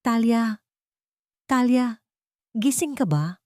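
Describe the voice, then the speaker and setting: A woman with a Filipino accent voicing a fearful, loyal elderly cook who speaks in a hushed, urgent way. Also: a little high, animated, slow, no echo, studio quality, pitched above the performer's own voice. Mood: hushed urgency.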